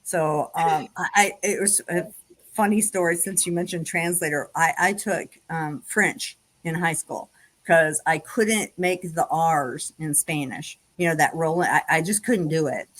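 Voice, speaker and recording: Chatty woman, lowish voice. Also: unhurried. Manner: chatty storyteller, frequent fillers and restarts, laughs often. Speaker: podcast host. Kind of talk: casual conversation.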